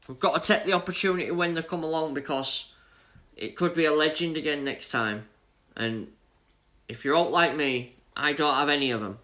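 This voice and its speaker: A young Yorkshire man chats his way through a racing game on stream, greeting viewers by name and joking about his bad luck. His voice sits a touch high for a man, and his talk is easy and matey. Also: bright, forceful tone. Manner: chatty, jokey, greets chat by name, laughs often. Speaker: gaming livestreamer. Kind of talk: casual live-stream chat with viewers while playing.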